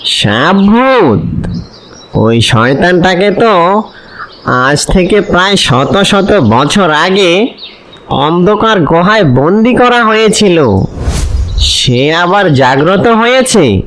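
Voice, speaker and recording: Man voicing a slow, ominous tantric sorcerer. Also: high voice, big pitch swings, fairly bright tone, slight background noise, exaggerated voice. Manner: drawn-out, ominous hums and pauses. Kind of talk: cartoon voice acting.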